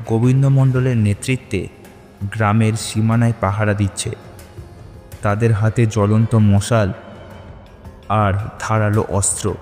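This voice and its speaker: A man's voice: lowish voice, darkish tone, long reverb tail. A man narrating a scripted horror story in a dramatic, suspenseful manner.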